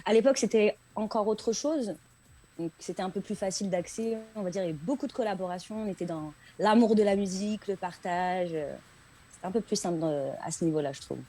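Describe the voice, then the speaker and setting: A woman's voice: mid-range voice, fairly quick, warm tone. A woman from France, a singer chatting casually as an interview guest and repeating words for emphasis. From France, Paris region.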